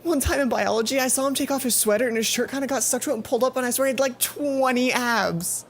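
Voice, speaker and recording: A game player putting on a high, smitten schoolgirl. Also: fast talker, slight background noise. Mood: smitten, gushing. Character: smitten, flustered, gushing teenage schoolgirl. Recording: music under the voice.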